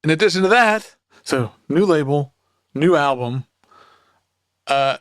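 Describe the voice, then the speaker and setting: A man's voice: on the high side, a bit bright, no echo, studio quality. A man hosting a music podcast, introducing his guest with rambling enthusiasm. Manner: enthusiastic, rambling, many fillers. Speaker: podcast host.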